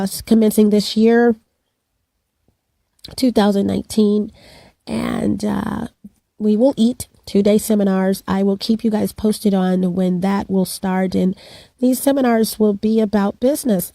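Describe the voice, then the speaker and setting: Hoarse woman, middle-aged, rambling to-camera vlog, dry close sound. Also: medium pitch, dark tone, no room sound. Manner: rambling, many fillers and restarts. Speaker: vlogger. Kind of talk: casual to-camera vlog with announcements.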